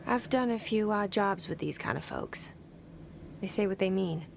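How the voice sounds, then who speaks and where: An actress voicing a game heroine's private, knowing inner monologue in a hushed, creaky voice. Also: female voice, medium pitch, normal speed, slight background noise, close to an everyday voice. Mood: musing.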